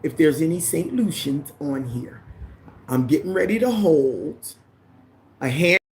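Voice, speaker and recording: A woman with a deep voice hosts a casual livestream. She greets viewers by name, reads their comments aloud, and drifts into preachy asides. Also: animated, a little slow, a little hiss or hum. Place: living in the Caribbean.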